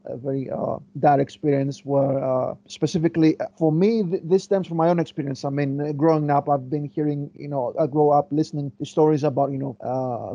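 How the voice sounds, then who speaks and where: A man's voice: a little high, dark tone, muffled recording. A man from Greece, a historian answering interview questions thoughtfully, with many fillers and restarts as he searches for words. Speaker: guest historian.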